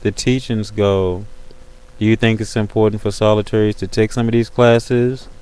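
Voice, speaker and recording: A man with a low, smooth voice talks casually and in slang, as host of a webcam call. His delivery stays fairly flat and even, with frequent repeated tag phrases. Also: moderate pace, poor audio. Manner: slangy, repeats "you know what I'm saying". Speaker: host of an online video call. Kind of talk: casual chat.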